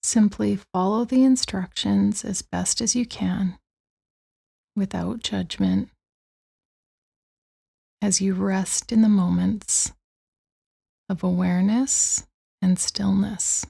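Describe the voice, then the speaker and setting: A woman guides a meditation with a soft, mellow tone and a creaky edge. She speaks gently and very slowly, letting long pauses settle between her soothing phrases. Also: mid-range voice, fairly even intonation. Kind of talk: guided body-scan meditation.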